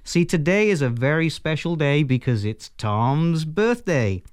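A man's voice: fairly high pitch, expressive, measured pace, clear, resonant tone, no room sound, studio quality. A Welsh man playfully taking over as host of a podcast, talking in an upbeat, jokey way. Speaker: guest host for the day, software executive. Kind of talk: podcast hosting.

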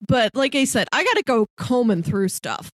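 A woman's voice: a little high, big pitch swings, moderate pace, dry recording. A woman co-hosting a podcast, chatting casually and articulately about films with playful asides. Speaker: podcast co-host reviewing films. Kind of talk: casual film review chat.

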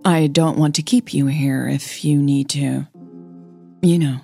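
An insecure woman in love voiced by a woman narrator, speaking low and restrained in an audio drama. She is self-doubting and hides hurt, with faint music behind her. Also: fairly even intonation, measured pace, creaky, mellow, full character voice.

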